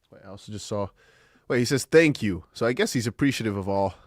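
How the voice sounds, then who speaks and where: A man's voice: lowish voice, animated, quick pace, dry recording, studio quality. A man co-hosting a live stream, chatting casually and reading out viewer messages and news posts. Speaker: stream co-host.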